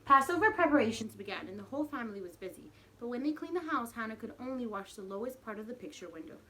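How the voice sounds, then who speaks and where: A woman's voice: fairly high pitch, sing-song, quick pace, a bit bright, slight background noise, low-quality recording. A woman reading a picture book expressively to young children as their teacher, speaking to them directly. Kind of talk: animated storybook read-aloud with questions to the children.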